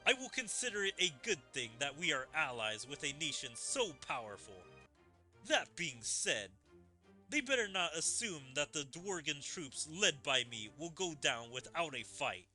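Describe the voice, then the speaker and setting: Proud male monarch as read by a gamer, grave and deliberate, forceful tone, faint music. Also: on the high side, big pitch swings, exaggerated voice. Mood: measured, proud. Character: proud, measured male king of a warrior nation.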